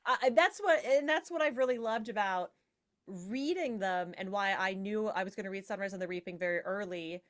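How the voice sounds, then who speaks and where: A woman's voice: medium pitch, fairly quick. A woman guest in a casual podcast discussion, talking in a jokey, playfully emphatic way.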